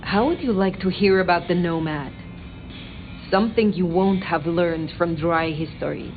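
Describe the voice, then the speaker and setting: Woman voicing proud ancient vampire, game character, lowish pitch, formal composed delivery, slightly dull audio. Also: moderate pace, natural voice. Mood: wry reminiscence. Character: proud, worldly, imperious ancient female vampire, cool and commanding. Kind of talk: dramatic video game dialogue. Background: clear background music.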